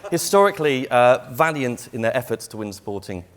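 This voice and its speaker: A man's voice: mid-range voice, expressive, fairly quick, crowd noise. An English man, a TV channel executive, answering questions on a live panel in an affable, lightly self-deprecating way. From England.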